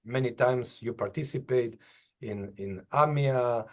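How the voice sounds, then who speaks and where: Interviewer, fifties, hesitant delivery, dull webcam sound. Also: male voice, mid-range voice. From Argentina and Brazil. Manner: halting, searching for words. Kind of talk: interview questions over video call. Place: office with bookshelves. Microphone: video call webcam.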